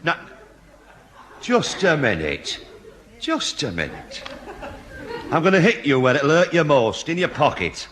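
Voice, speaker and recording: A blustering, short-tempered Northern grouch. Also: male voice, fairly high pitch, sing-song, measured pace, long pauses. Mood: blustering, threatening. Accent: Northern English. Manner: gruff, blustering. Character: grumpy, blustering man, short-tempered and threatening. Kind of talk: 1960s radio sitcom acting. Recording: noisy 1960s radio comedy recording.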